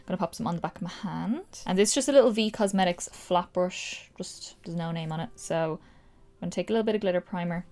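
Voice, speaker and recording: A woman's voice: fairly low pitch, fast talker, darkish tone. Chatty Irish woman vlogger. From Ireland. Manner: casual, gushing, explains as she goes. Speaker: makeup vlogger. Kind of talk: chatty makeup tutorial.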